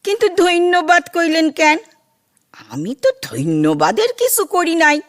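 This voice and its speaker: A woman's voice: extremely high pitch, huge pitch swings, full character voice. A woman playing a nosy, talkative rustic housemaid with an East Bengali accent in a comic audio drama. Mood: eager, solicitous. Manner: garrulous, drawn-out folksy phrasing. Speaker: voice actor.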